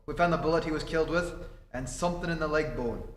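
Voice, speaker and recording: A man acts out a brisk police inspector in a vintage radio play. His voice sits slightly high and he talks in a clipped, plain way. The stage recording is reverberant, with faint noise. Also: close to an everyday voice. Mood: businesslike. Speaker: amateur stage actor. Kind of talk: old-time radio drama stage re-enactment.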